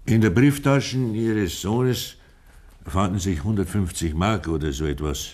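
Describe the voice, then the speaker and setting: An actor playing a polite male police inspector who questions in a formal, hesitant, measured way, in a 1950s German radio play. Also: low-pitched, darkish tone. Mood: formal, careful.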